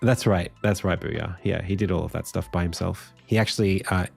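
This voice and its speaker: Tired man with a croaky deep voice. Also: steady tone, fairly quick, a little mellow, soft background music. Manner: thinking aloud with many fillers and restarts. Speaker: indie game developer streaming. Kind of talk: casual live stream talk.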